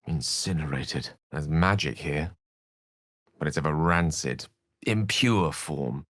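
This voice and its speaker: A man's voice: rumbling low, takes it slowly, fairly bright tone, dry recording, clean studio sound, heavily acted. A man voicing an articulate, bookish human wizard in a video game, wry and gallant, with theatrical, wordy delivery. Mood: curious, polite. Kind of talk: video game character acting.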